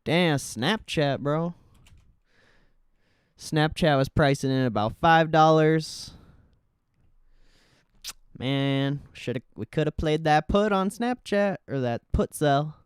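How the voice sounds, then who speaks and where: A man's voice: on the high side, slow pace, pauses often, smooth, dry recording, clean studio sound. A man hosting a livestream, giving casual market commentary in informal, slangy, sweary speech. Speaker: livestream host.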